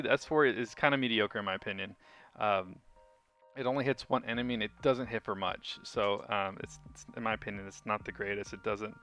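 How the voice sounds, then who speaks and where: Low-voiced man casually explaining a game. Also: fairly bright tone. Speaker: gaming video host. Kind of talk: casual game guide commentary.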